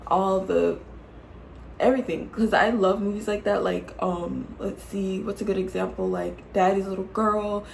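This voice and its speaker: A woman's voice: medium pitch, creaky, darkish tone, a little hiss or hum, rough recording. A woman in her late twenties chatting casually to camera as a vlogger, playful and teasing, laughing often and calling her viewers y'all. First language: English. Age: 20s. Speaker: YouTube vlogger.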